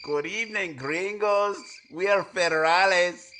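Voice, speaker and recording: Radio-comedy bandit: sly Mexican accent, high pitch, unhurried, noisy recording. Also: male voice, pushed and bright. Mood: oily, mock-polite. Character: sly Mexican bandit leader posing as federales. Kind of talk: 1940s radio comedy acting.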